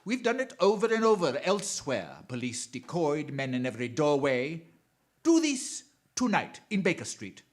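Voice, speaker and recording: A man's voice: fairly high pitch, big pitch swings. An actor playing a gloating, menacing crime mastermind in a radio drama, relishing and repeating his threats. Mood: scheming command. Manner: slow relishing menace, chuckling, repeats phrases.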